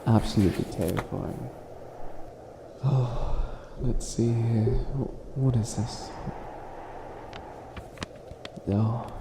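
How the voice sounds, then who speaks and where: A flustered, self-styled evil witch, acted by a man in a comedic ASMR roleplay. The voice sits at a medium pitch and stammers through grand, theatrical boasts with drawn-out emphasis. It sounds in an echoey room with noticeable background noise. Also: vocal fry. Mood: proud, theatrical.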